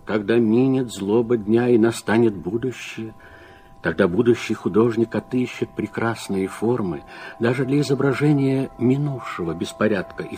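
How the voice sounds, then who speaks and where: A man acting in a radio drama as a troubled, reflective man of letters, husky and rhetorical, with deliberate phrasing that swells and drops. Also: lowish voice, darkish tone. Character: reflective, troubled man of letters reading his critical reply. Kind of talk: literary radio drama monologue.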